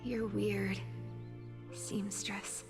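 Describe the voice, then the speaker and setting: Wry self-doubting thief girl in a game, slow and creaky, music under her. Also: medium pitch, little pitch movement. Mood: wry, doubtful. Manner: blunt, wry, hesitant. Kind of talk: dramatic video game acting. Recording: long reverb, music behind.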